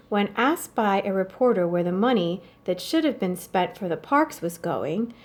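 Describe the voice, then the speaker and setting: A woman's voice: medium pitch, darkish tone, lightly acted. Woman reading as an imperious old wealthy lady. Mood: matter-of-fact. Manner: bossy, dry, matter-of-fact. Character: wry, imperious old wealthy woman dictating her wishes.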